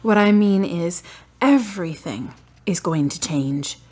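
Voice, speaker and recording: A woman acts out a grieving, strong-willed daughter for a radio play. Her voice sits low and has a husky grain. She speaks with feeling and stands her ground. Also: animated, a little slow, a little mellow. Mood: earnest. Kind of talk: radio drama acting.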